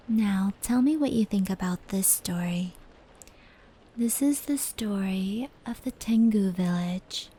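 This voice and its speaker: A woman playing a gentle demon yokai storyteller in an ASMR roleplay, speaking softly and often whispering, slowly telling old tales. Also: medium pitch, close to an everyday voice, poor audio. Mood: gentle, affectionate. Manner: slow, hushed, often slipping into whispers. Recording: steady background noise of rain and brushing. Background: indoor rain patter and brushing sounds.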